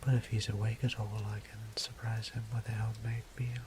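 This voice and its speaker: A man playing a weary, courteous immortal vampire priest in an audio drama, speaking formal, old-fashioned English in a breathy, gravelly near-whisper. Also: on the low side, flat delivery, fairly quick, background noise, close to an everyday voice. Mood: musing, composed. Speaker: voice actor. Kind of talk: scripted audio drama monologue.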